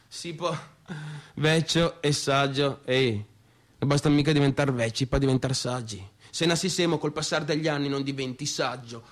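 An actor with a northeastern Italian Veneto accent playing a bitter, rambling son of a small-town businessman, in a halting, colloquial theatrical monologue. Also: a little high, lightly acted. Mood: wry, bitter. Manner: halting, repetitive, confiding, conversational. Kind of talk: theatrical monologue in colloquial dialect-tinged speech.

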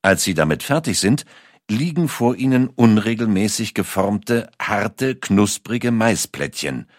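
A man reading scripted documentary narration in a measured, carefully articulated storytelling manner. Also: fairly low pitch, expressive, no echo, clean studio sound.

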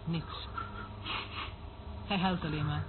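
Weary, cynical eavesdropper, tinny noisy recording. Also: female voice, low voice, fairly even intonation, slow pace. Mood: weary, scornful. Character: weary, cynical woman working as surveillance eavesdropper. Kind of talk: radio play acting. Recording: noisy, tinny band-limited old radio recording.

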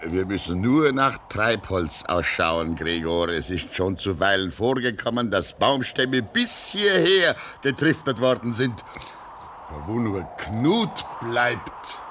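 A man's voice: lowish voice, big pitch swings, takes it slowly, a bit bright, full character voice. A man playing a devout, solemn old man stranded at a remote Arctic station in an old radio drama. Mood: worried. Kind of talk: 1950s German radio drama acting. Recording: old radio recording with noise.

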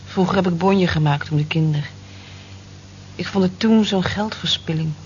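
An actress in a radio play as a lonely, gentle working-class housewife in her forties, speaking in a soft, confiding, reflective way. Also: on the low side, moderate pace, a little hiss or hum, natural voice. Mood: gentle, resigned. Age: 40s. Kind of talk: naturalistic radio play acting, intimate monologue. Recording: muffled old radio drama recording.

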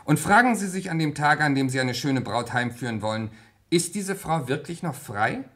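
Husky gentleman in an old radio mystery, formal, clipped, cryptic and insistent. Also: on the high side, animated, normal speed. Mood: pointed, cryptic. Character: insistent, cryptic English gentleman consulting a lawyer. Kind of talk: German radio crime play acting from the mid-20th century.

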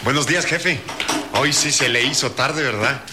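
A Mexican actor playing a humble, confident young cabinetmaker, colloquial and respectful with a touch of cheek, in a radio drama. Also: male voice, medium pitch, moderate pace, heavy background noise, natural voice. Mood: friendly, respectful.

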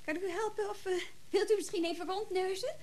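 An actress playing a polite, hesitant, easily flustered shop assistant in a radio play with slight noise on the recording. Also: female voice, extremely high pitch, steady tone, fast talker, darkish tone. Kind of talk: Dutch radio play acting.

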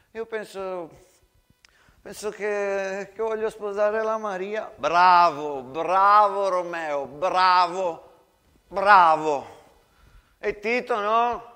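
Actor as a simple Venetian soldier, high-voiced. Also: male voice, pushed and bright, exaggerated voice. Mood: hesitant, tender, then hearty. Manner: colloquial, halting, repeats phrases. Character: simple, friendly Italian soldier chatting with trench comrades. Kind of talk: theatrical storytelling.

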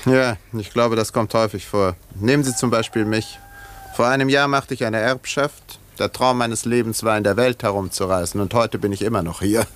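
An actor playing an urbane village doctor who narrates a 1950s radio drama with dry, measured irony. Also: male voice, lowish voice, steady tone, close to an everyday voice. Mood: conversational. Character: wry, urbane village doctor telling his own story.

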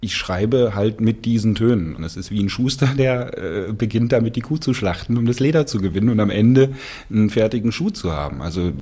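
A man's voice: lowish voice, expressive, brisk, mellow, husky. A man who makes radio with sounds, speaking reflectively in an interview. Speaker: radio author working with sound.

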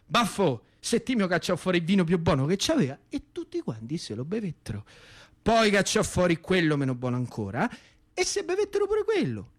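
Guy w central italian accent doing dramatic monologue, declaims, high voice, repeats stuff insistently. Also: huge pitch swings, a little fast. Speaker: actor and storyteller. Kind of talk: theatrical narrative monologue for radio.

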